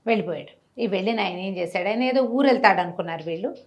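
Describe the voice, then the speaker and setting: A woman telling a moral story conversationally, with animated delivery, rhetorical questions and everyday examples. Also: mid-range voice, fast. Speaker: storyteller, interview guest.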